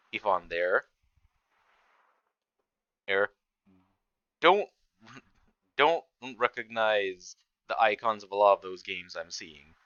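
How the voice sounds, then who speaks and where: A man's voice: on the low side, pushed and bright, clean tone, no echo, highs cut off. A man giving casual, rambling, amused commentary as the host of a reaction video.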